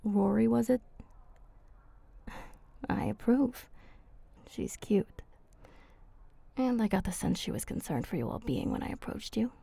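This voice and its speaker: A woman voicing a flirty, teasing female vampire in an ASMR roleplay, soft and husky, speaking intimately and haltingly. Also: mid-range voice, expressive, heavily acted.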